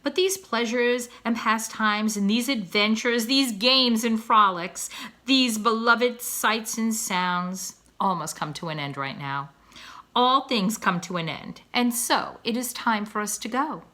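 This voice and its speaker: A woman's voice: on the high side, unhurried, pushed and bright. A woman voicing a proud father swan in a children's storybook, with a pompous, florid, declamatory manner as he lectures his young. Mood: grandly nostalgic. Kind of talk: children's storybook read-aloud.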